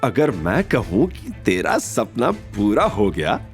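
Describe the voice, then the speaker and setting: A man's voice: lowish voice, huge pitch swings, takes it slowly, soft background music, heavily acted. A man voicing a scheming middle-aged mother in an audio drama, gleeful and teasing.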